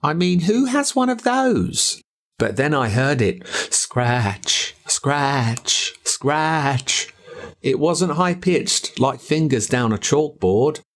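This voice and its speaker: A man with an Australian accent narrating a spooky children's story in an expressive, suspenseful, first-person storytelling manner. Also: on the high side, very slow. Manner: expressive, suspenseful storytelling as a boy recalling events. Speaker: audiobook narrator.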